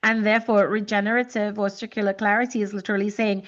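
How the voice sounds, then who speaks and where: A woman's voice: mid-range voice, level tone, fast, a bit bright, highs cut off. A woman from Trinidad and Tobago speaking reflectively and conversationally as a podcast host, often repeating words as she searches for phrasing.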